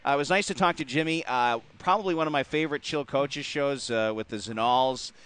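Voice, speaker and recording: A man from the Upper Midwest hosting a local hockey talk show, chatty and quick to laugh, with many filler words. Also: medium pitch, a bit bright, smooth. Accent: Upper Midwest American. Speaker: host. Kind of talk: casual sports talk.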